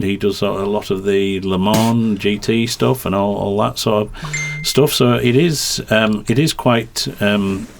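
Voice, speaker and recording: A man's voice: lowish voice, vocal fry, a little hiss or hum. A middle-aged man with a light Yorkshire twang, a racing commentator explaining at length in a rambling, hesitant way. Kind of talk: podcast conversation.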